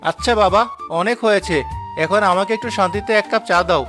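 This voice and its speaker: A man acting a fast-talking, exasperated village husband. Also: sits high, music in the background, lightly acted. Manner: exasperated, scolding yet reasoning. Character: exasperated, practical village husband, plain-spoken and long-suffering. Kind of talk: animated moral-story drama acting.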